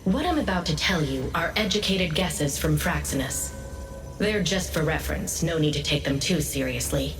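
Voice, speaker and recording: A woman playing a composed intelligence analyst in a video game, giving briefings in a measured, serious, informative way. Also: low-pitched, lively intonation. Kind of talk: scripted game dialogue. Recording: echoey hall, distant, faint music behind.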